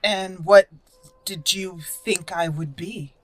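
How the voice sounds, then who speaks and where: A woman's voice: fairly low pitch, takes it slowly, dry recording. A woman roleplaying a proud exiled elf mage in a game, speaking in formal, stiff wording. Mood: curious, wary.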